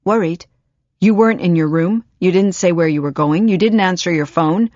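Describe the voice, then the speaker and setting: A woman's voice: lowish voice, normal speed, a little mellow, no room sound, close to an everyday voice. Furious frantic mum, a female narrator voicing her, lo-fi dull sound. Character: frantic mother, furious with fear.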